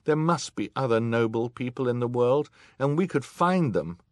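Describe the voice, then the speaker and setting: A man's voice: mid-range voice, animated, normal speed, dry recording, clean studio sound, voice pushed up, lightly acted. A man voicing a worried, earnest boy with an urgent, plain-spoken way of talking in an audiobook. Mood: urgent, bitter. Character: earnest, worried boy, sibling of two sisters.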